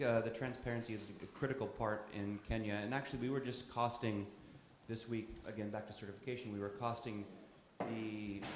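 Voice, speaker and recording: Low-ish guy on a panel, measured with lots of ums, echoey room. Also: slight background noise. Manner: measured, frequent fillers and restarts. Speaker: coffee trader on a panel. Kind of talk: panel discussion. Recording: echoey conference hall.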